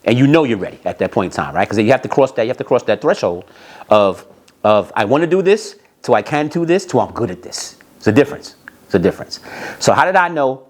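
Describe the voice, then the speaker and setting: A man's voice: mid-range voice, lively intonation, quick pace. A middle-aged American man telling stories from his career in a casual, emphatic way, repeating phrases for emphasis. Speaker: host, former record label A&R representative. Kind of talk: casual autobiographical storytelling.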